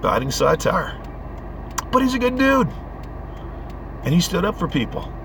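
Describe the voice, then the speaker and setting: Hoarse man with a head cold. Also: high-pitched, sing-song, a little slow. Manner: rambling, earnest rant. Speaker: vlogger. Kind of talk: casual driving vlog. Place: inside a moving car. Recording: car road noise.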